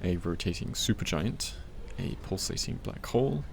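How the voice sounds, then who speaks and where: Soft asmr guy playing a sweet teasing boyfriend, deep creaky voice, soothing, bit of library noise behind him. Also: animated, normal speed. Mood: patient, helpful. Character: tender, teasing male partner helping a loved one study. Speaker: ASMR voice actor. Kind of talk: soft-spoken ASMR roleplay. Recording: faint background library ambience.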